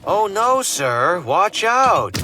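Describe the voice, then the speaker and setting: A man's voice: sits high, big pitch swings, very slow, noisy background, exaggerated voice. A man playing a scheming, embittered would-be novelist in an adventure game, talking slyly and hammily to himself. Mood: wry, scheming. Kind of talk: point-and-click game character monologue.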